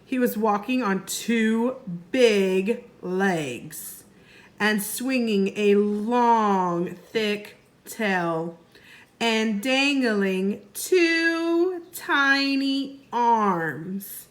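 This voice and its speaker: Slow, expressive woman reading a children's story. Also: medium pitch. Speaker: first grade teacher. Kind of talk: children's storybook read-aloud.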